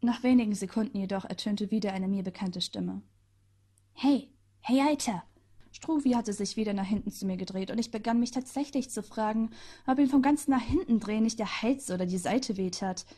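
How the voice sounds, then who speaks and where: A woman's voice: mid-range voice, a little fast, dark tone. A woman narrating a first-person story aloud with chatty, sarcastic asides.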